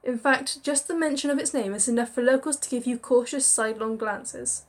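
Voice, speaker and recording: A woman reading a visual novel aloud with expressive storytelling and chatty asides. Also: fairly high pitch, brisk. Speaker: game narrator.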